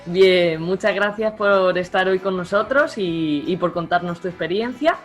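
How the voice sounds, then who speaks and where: Female host, low-pitched, polite, wordy questions. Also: measured pace, faint music behind. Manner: polite, asks long rambling questions. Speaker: interview host. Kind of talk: friendly interview questions.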